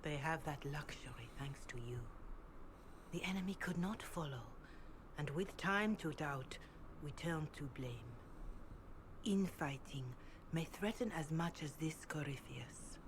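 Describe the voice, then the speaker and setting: Solemn, low-voiced religious Mother. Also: expressive. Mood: grave, hushed. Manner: measured, solemn, formal. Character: calm, devout middle-aged religious Mother counselling softly. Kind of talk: video game dialogue acting. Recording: game audio with clear background noise.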